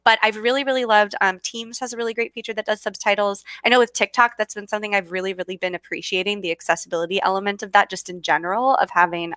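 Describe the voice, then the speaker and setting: Bubbly california woman chatting away, bright voice, dry sound. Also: medium pitch, clear, resonant tone, dry recording. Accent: Californian American. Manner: bubbly, many fillers and restarts. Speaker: guest speaker. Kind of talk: casual video call chat.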